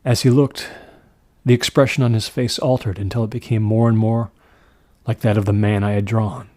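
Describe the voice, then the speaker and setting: Low-voiced man narrating horror, close and dry. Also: steady tone, a little mellow, no echo. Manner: measured, deliberate storytelling. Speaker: audiobook narrator. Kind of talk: scripted narration of a classic horror story.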